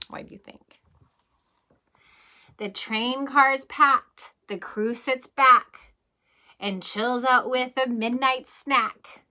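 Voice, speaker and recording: A woman in her thirties, a kindergarten teacher, reads a rhyming picture book aloud to young children. Her voice is bright and clear. She takes the verses slowly and in a sing-song way, then stops to ask the little ones gentle questions about the pictures. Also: on the high side.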